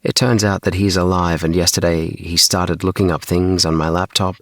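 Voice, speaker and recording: A man's voice: sits low, level tone, normal speed, fairly bright tone, dry recording, clean studio sound, voice pushed up. A man voicing an earnest young boy who pleads and protests to his parents in a children's storybook. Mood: earnest, halting. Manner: earnest, insistent, exclaiming.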